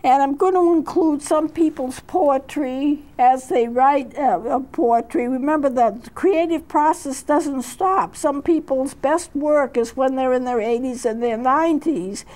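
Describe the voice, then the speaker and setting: A woman in her eighties with a high voice talks about growing old. Her pace is unhurried, with little fillers and restarts along the way. She sounds like a seasoned counselor sharing what she has learned. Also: darkish tone, low-quality recording. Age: 80s. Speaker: counselor and show host. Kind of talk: reflective talk with read-aloud poetry.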